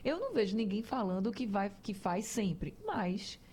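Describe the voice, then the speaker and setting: Woman, radio health-show host, warm mellow tone, conversational, explanatory, addresses the audience. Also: mid-range voice, very animated, normal speed, husky. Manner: chatty, explanatory, talks to listeners directly.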